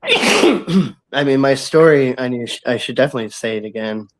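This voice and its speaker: A man as a guest in a casual video call chat, relaxed and supportive, laughing easily and fighting a cough. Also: medium pitch, moderate pace, low-quality recording.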